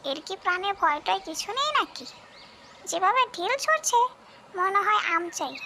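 Piercingly high, creaky ghost girl. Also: very animated, moderate pace, pushed and bright, full character voice. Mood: exasperated grumbling. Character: female tree ghost, regretful, easily exasperated, childlike high voice. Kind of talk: cartoon fairy-tale voice acting. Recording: noisy, birdsong ambience behind.

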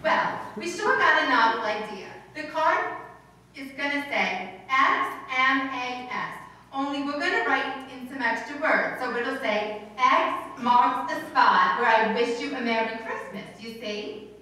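A woman's voice: high-pitched, expressive, a little slow, sharp tone, heavily acted. A woman playing a scatterbrained, trusting, chatty wife in an old-time radio comedy, earnest and muddling her words. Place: stage in a meeting hall before an audience. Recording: echoey hall, far from microphone.

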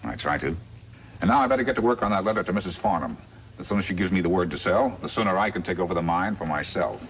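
An actor playing a smug, calculating crooked lawyer and boss in a 1950s radio western, with an insinuating manner. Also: male voice, low voice, animated, fast talker. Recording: noisy vintage 1950s radio recording.

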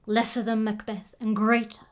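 A woman's voice: on the high side, level tone, normal speed, lightly acted. A woman playing a sly, sinister witch in a classical radio drama. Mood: ominous hailing.

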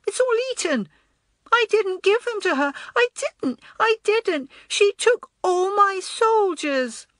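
A woman's voice: very high-pitched, animated, slow, fairly bright tone, no room sound, clean studio sound, pitched above the performer's own voice, full character voice. A woman voicing an upset little schoolboy with a piping voice in a storybook. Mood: wailing protest. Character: little boy, upset, shrill and protesting.

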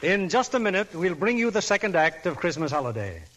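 A man's voice: sits high, big pitch swings, a little fast, very noisy. A man presenting as a scripted 1940s radio host on an old broadcast.

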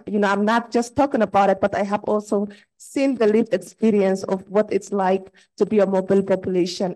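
A woman's voice: mid-range voice, darkish tone, poor audio. A woman from Somalia, a former refugee and diaspora advocate, asks an earnest, personal question from the floor of a conference session. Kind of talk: spontaneous audience question at a conference. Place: conference hall.

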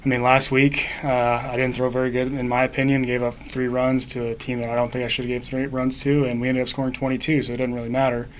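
A man's voice: medium pitch, monotone, quick pace, hardly any pauses, slight background noise, rough recording. A man in his twenties, a college baseball player, giving matter-of-fact answers at a postgame press conference. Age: 20s. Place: indoor press room.

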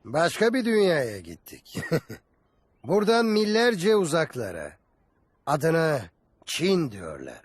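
A man voicing a jovial older merchant explorer in a dubbed cartoon, with a husky voice. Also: on the high side, wildly swooping pitch, slow, long pauses, dry recording, exaggerated voice. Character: jovial older male merchant explorer, back from long travels.

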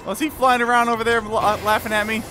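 A man's voice: very high-pitched, level tone, quick pace. A man giving playful, casual commentary as he plays a video game. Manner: playful, muttering reactions and exclamations. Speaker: gaming video host. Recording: game music behind.

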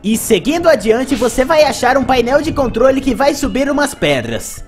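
A man's voice: extremely high pitch, very animated, normal speed, faint music behind. A young man with a Brazilian accent giving casual narration for a video game review. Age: young adult.

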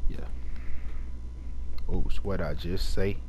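Deep-voiced teenage male gamer; slow, relaxed, low-pitched, casual headset chatter. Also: background noise. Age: late teens. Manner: slow, laid-back rambling with profanity. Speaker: gamer chatting while playing. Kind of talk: casual chat.

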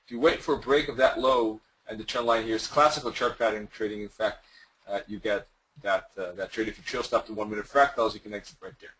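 A man presenting a trading webinar in a loose, conversational way, losing his voice and clearing his throat now and then. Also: lowish voice, fairly even intonation, fast talker, a bit bright, rough recording. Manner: loose, conversational, with many fillers and restarts. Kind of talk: screen-share webinar explanation.

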